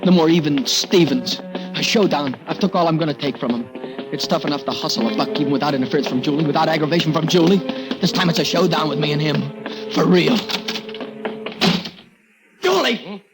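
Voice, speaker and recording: An actor playing an aggrieved small-time New hustler in a 1940s radio crime drama, talking in pushy, slangy street talk. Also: male voice, fairly high pitch, brisk, close to an everyday voice. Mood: agitated. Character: aggrieved small-time tough male hustler, spoiling for a showdown. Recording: muffled old radio, music behind.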